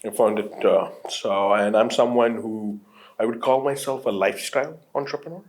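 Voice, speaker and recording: A man's voice: fairly low pitch, normal speed. A man in his late thirties from Qatar, a guest on an interview show, talking casually with lots of fillers, restarts and easy laughs. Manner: rambling, many restarts and fillers, laughs easily. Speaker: entrepreneur guest.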